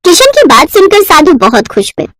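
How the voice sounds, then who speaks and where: High, animated woman storyteller. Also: brisk, no echo. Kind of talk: scripted expressive children's story narration.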